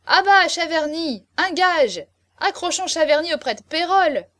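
A woman voicing rowdy drunken aristocratic revellers in a storybook, shouting demands and jeers. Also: very high-pitched, expressive, normal speed, pitched above the performer's own voice. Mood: jeering.

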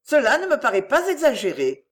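Lady reader doing a whiny scheming mom from a novel, self-pitying, melodramatic, pitched up, very animated. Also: fairly quick. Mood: firm, calculating. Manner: plaintive, melodramatic, wheedling. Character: self-pitying, scheming middle-aged mother playing the victim. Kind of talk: acted audiobook dialogue.

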